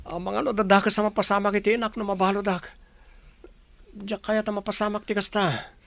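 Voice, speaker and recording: A man's voice: high-pitched, little pitch movement, brisk, gravelly, a bit bright, dry recording. A man with an Ilocano accent playing a contrite wrongdoer begging forgiveness in a radio drama. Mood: remorseful.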